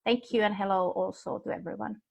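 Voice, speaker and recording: A woman's voice: mid-range voice, steady tone, gravelly. Female panelist, middle-aged, mid-range voice, informal stop-start delivery over a video call. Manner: halting, stop-start phrasing. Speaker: panelist, tourism programme head. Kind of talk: informal self-introduction on a panel.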